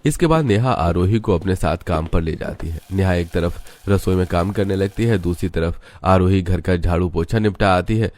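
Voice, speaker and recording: A man with a very deep voice narrates a moral story. He reads from a script as the storyteller. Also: moderate pace, hardly any pauses, darkish tone.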